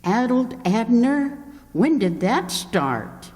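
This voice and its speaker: A man plays an eager, wordy salesman in an old-time radio comedy. He pitches slowly and emphatically, like an announcer selling goods. The sound has long hall reverb. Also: very animated, darkish tone, heavily acted. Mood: curious. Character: enthusiastic, wordy appliance salesman Mister Niles.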